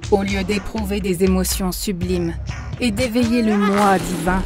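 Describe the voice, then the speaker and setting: A woman voices a wise spiritual teacher in an animated film. She speaks calmly and patiently, like a teacher guiding a student. Her voice sits in a middle range, with faint music underneath. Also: mid-range voice, a little slow, close to an everyday voice. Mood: instructive. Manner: calm, instructive.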